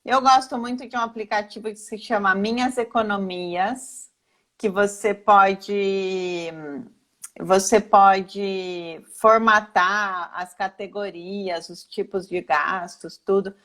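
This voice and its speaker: A woman's voice: mid-range voice, fairly even intonation, slow pace, a bit bright. A woman with a Brazilian accent giving an informal, didactic explanation as a financial planner, posing questions and answering them herself. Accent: Brazilian Portuguese. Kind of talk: informal explanatory talk in a live online session.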